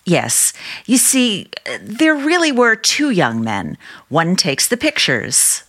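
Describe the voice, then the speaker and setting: A woman's voice: mid-range voice, wildly swooping pitch, slow, a bit bright, full character voice. An actress playing a doting, trusting middle-aged sister and small-town mother in an old-time radio drama, chatty and earnest. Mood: eager.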